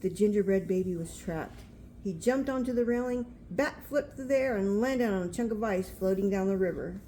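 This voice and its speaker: An older woman with a mellow, soft-edged voice reads a picture book aloud to a grandchild. She is homely and chatty, at a middling pitch, with faint noise in the background. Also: moderate pace, low-quality recording. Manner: homely, chatty, pointing things out. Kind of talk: storybook read aloud with asides to a child.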